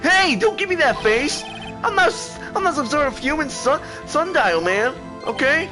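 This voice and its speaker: A man voicing an excitable, flustered young male witness in a game dub, rambling and defensive as he stammers. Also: extremely high pitch, normal speed, over music, voice pushed up. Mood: indignant.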